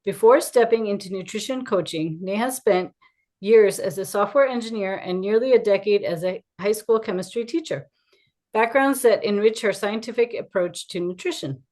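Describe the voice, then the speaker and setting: A woman's voice: medium pitch, normal speed, a little mellow. A middle-aged woman speaking as a podcast host, introducing the show and interviewing a guest. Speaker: podcast host, wellness coach.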